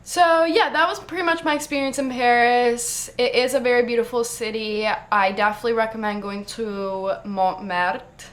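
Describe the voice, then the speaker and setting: A woman's voice: fairly high pitch, fairly even intonation, fairly bright tone. Chatty young American woman vlogger. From United States. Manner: chatty, fast, lots of "like", laughs often. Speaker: travel vlogger. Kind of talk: casual storytelling to camera.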